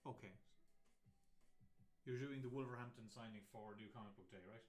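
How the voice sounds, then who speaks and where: A man's voice: mid-range voice, fairly even intonation, normal speed, darkish tone, reverberant. A man with an Irish accent chatting casually with viewers while drawing, hesitant and self-deprecating, full of restarts. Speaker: comic artist live streaming a drawing. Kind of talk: casual chat with stream viewers. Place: home desk, drawing on camera.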